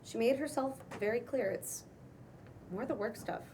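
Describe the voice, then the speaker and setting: A woman's voice: a little high, normal speed, natural voice. A woman playing a defensive, self-pitying broke writer after a breakup, in a casual, conversational comedy-drama script read. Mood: dejected. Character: Janie, mopey, defensive broke female writer, freshly dumped. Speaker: actor at a table read. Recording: distant mic, faint room noise.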